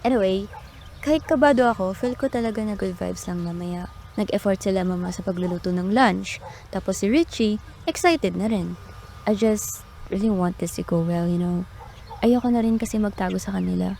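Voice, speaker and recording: A woman's voice: mid-range voice, normal speed, vocal fry, warm tone, slight background noise, close to an everyday voice. A woman with a Filipino accent playing a bubbly, anxious young daughter in an amateur radio drama, talking casually and mixing in English. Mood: nervous, hopeful. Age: young adult.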